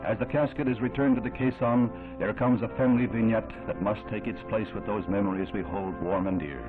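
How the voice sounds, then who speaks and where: Male American newsreel narrator, 1960s: low-voiced, solemn and oratorical, on a thin band-limited old soundtrack. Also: a little fast, narrow, tinny audio. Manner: solemn, formal, dramatic oratorical delivery. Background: loud music.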